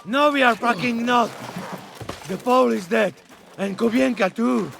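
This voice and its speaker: An actor playing a grim, furious medieval fighter in a video game, harsh and forceful with a gravelly voice. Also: male voice, very high-pitched, measured pace, slight background noise, heavily acted. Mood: grim, angry. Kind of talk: dramatic video game cutscene acting.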